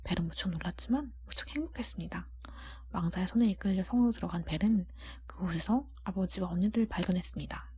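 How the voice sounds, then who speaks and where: A woman narrates a storybook in ASMR style. Her voice is an extremely breathy whisper with a mellow, soft tone, at a medium pitch for a woman. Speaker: storyteller reading aloud. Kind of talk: ASMR book reading, scripted narration.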